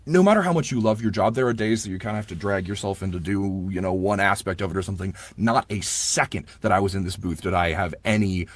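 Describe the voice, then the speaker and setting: A man with a husky voice, talking informally and effusively in a casual interview as an audiobook narrator. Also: low-pitched, quick pace, few pauses.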